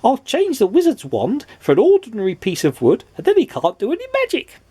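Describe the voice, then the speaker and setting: A man doing Mister Mischief, a giggling high-voiced imp. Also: expressive, normal speed, vocal fry, heavily acted. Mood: gleeful. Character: small giggling mischievous male imp-like prankster.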